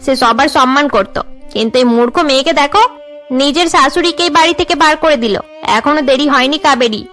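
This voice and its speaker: A woman's voice: sits high, a bit bright. A woman playing a gossipy, blunt neighbour who chides and advises in a cartoon family drama. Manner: nosy, chiding. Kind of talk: cartoon family melodrama acting.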